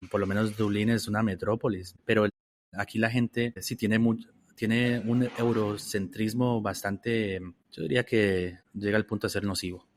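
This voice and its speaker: A man from Costa Rica answering interview questions in a measured, reflective way, often restarting his phrases. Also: fairly low pitch, a little hiss or hum. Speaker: interview guest.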